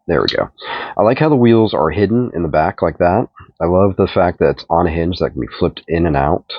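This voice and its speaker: A middle-aged man with a stuffed-up voice, casually reviewing a toy in a relaxed, rambling way. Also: deep voice, slightly rough, no room sound. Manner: relaxed, rambling, repeats himself while handling the figure. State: stuffed up from a cold. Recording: dull, dry home recording.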